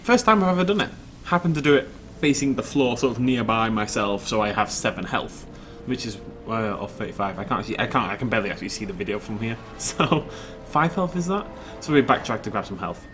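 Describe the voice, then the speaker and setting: A man's voice: fairly low pitch, lively intonation, a little fast, hardly any pauses, over music. A man casually rambling while commenting on his own gameplay, chatty and self-deprecating. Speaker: let's play host.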